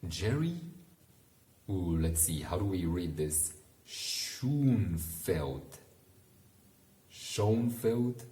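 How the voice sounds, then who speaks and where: Male bedtime reader, very low and unhurried, informal and musing, recorded in a roomy, echoing space. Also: mellow. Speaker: bedtime story reader and commentator. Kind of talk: relaxed read-aloud with casual asides to help listeners sleep.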